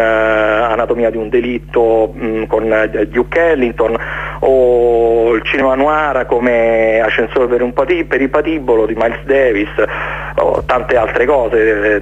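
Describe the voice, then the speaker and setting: A man giving an informal radio interview as a guest, speaking haltingly, searching for words and repeating himself. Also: mid-range voice, narrow, tinny audio, slight background noise. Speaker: interview guest, jazz musician presenting his concert series.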